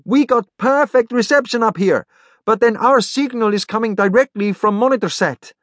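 A man's voice: sits high, moderate pace, a bit bright, no echo, clean studio sound, voice pushed up. A man voicing a chatty, inquisitive male caller with a thin, whistly voice. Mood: chirpy, curious.

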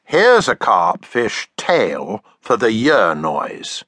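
A man's voice: on the low side, huge pitch swings, extremely slow, a bit bright, husky, no echo, heavily acted. A man voicing a fond cave father. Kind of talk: storybook reading.

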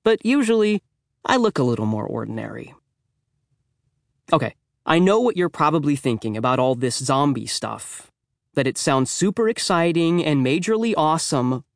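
A self-mocking middle school boy, acted by a man tells his misadventures in a children's audiobook. The voice is a little high and very dry in sound, chatty, tongue-in-cheek and dramatic. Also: no echo. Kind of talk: children's audiobook first-person narration.

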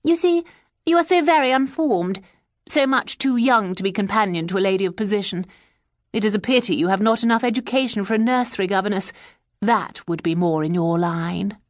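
A woman's voice: mid-range voice, fairly quick, no echo, clean studio sound, pitched above the performer's own voice, close to an everyday voice. A woman voicing a stately, severe woman who runs an employment agency, speaking haughtily and crushingly. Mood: haughty.

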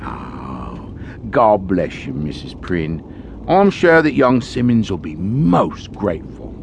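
An actor playing a sly, greedy, falsely pious gravedigger with a theatrical in an audio horror drama. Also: male voice, low voice, very animated, slow pace, vocal fry, a little hiss or hum, exaggerated voice. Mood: oily, falsely humble.

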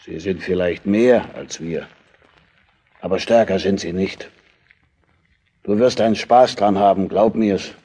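An actor playing a cocky, bragging veteran soldier in a biblical radio play, blustering and dismissive. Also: male voice, sits low, measured pace, pauses often, dark tone, breathy edge. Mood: boastful, dismissive.

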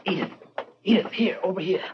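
An actor playing a steady, soothing accomplice and lover in a 1940s radio drama, urgent and reassuring. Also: male voice, high voice, a little fast, natural voice. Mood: hushed, urgent. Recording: dull, noisy old radio recording.

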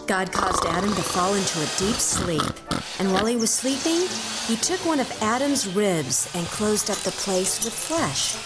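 A woman's voice: mid-range voice, expressive, normal speed, heavy background noise. A woman giving scripted storytelling narration of a Bible story as an audiobook narrator. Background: music.

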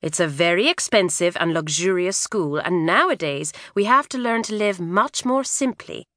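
A woman voicing a firm but kindly middle-aged mother who speaks calmly and reasonably. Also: fairly low pitch, normal speed, no room sound, studio quality. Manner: calm, firm, soothing.

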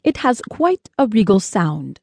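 A woman voicing a tall, courtly gentleman from space in an audiobook, gracious and formal in manner. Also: sing-song, unhurried, gravelly, breathy edge, dry recording, clean studio sound, heavily acted. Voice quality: high-pitched.

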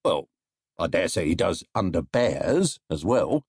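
A self-assured market greengrocer confides his advice with a lively, swooping voice, as a man puts him on. His tone is husky and dry. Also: low voice, measured pace, no room sound, voice pushed down, heavily acted. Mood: confiding. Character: know-it-all male fruit and vegetable seller.

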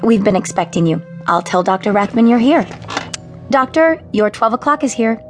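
A woman's voice: on the high side, moderate pace, soft background music, lightly acted. An actress playing a polite, businesslike female receptionist in an audio drama. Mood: polite, puzzled.

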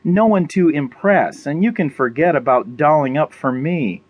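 A man's voice: a little high, expressive, moderate pace, no echo, lo-fi sound. A man voicing a practical woman survivor in an audiobook, blunt, bossy and matter-of-fact. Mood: dismissive.

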